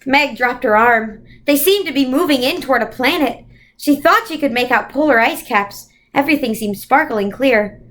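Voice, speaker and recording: A woman reads a children's story aloud as narrator. Her voice is high, and she tells it expressively for young listeners. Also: animated, moderate pace, a bit bright. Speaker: story reader.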